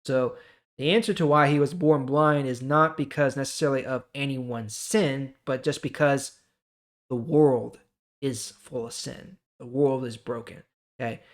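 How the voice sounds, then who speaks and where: A man's voice: mid-range voice, fairly even intonation, unhurried. A man hosting a Bible reading, explaining scripture informally and checking in with the listener.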